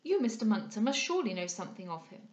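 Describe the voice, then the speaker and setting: A woman's voice: medium pitch, sing-song, fast talker, lightly acted. A woman voicing an earnest young heiress in a period novel, speaking formally and urgently. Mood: alarmed, questioning. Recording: echoey room.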